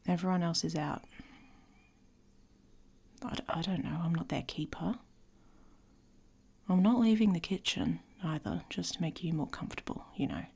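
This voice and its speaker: Woman playing a husky, whispery, taunting she-wolf. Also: fairly low pitch, normal speed, long pauses, a little mellow. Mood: defensive, irritated. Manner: teasing, sardonic, often dropping into whispers. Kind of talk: intimate ASMR roleplay.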